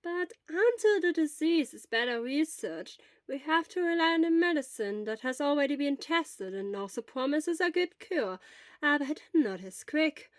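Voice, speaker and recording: Woman playing a kindly, stern school nurse, high-pitched. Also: expressive, no room sound, studio quality. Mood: gently explaining. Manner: measured, firm, explanatory. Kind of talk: acted audiobook dialogue.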